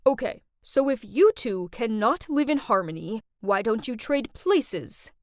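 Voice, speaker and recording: An exasperated father as a woman narrator plays him in an animated story. He is stern and firm, laying down rules in a close, dry recording. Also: a little high, a little slow, no room sound. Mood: firm, explaining.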